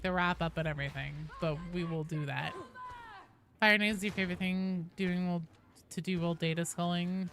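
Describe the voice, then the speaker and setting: A woman's voice: medium pitch, sharp tone, slight background noise. A woman streaming a video game with casual, playful, foul-mouthed commentary and goofy asides into a close mic. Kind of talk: casual live gameplay commentary.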